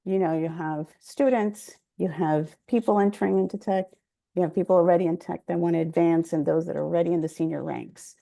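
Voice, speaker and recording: A woman from Venezuela introducing herself as a panelist in a warm, enthusiastic way over a video call. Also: on the low side, fairly even intonation, a little fast, vocal fry. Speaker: panelist, nonprofit chapter president.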